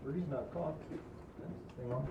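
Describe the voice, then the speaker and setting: A man's voice: a little high, brisk, close to an everyday voice. Male actor as blunt private eye. Phone-like sound, heavy noise. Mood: matter-of-fact. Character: male private investigator, blunt older brother. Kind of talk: comedy table read. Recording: echoey, distant, tinny, very noisy recording.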